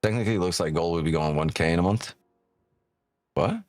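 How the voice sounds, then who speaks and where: A man's voice: deep voice, brisk, dry recording. A man born in Ukraine hosts a live trading stream, chatting casually and with profanity as he reads chat aloud, often tagging on "right?".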